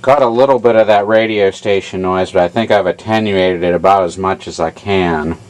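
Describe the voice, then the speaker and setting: A man giving an informal hands-on technical demonstration in a halting, matter-of-fact way, as a repair hobbyist. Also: low-pitched, a little hiss or hum. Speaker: amplifier repair hobbyist demonstrating his work.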